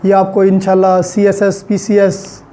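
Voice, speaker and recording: A male law lecturer with a Pakistani accent and a very soft-edged, mellow tone. He explains deliberately, stressing and repeating key points. English legal terms are mixed into his teaching. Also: high voice, completely flat delivery.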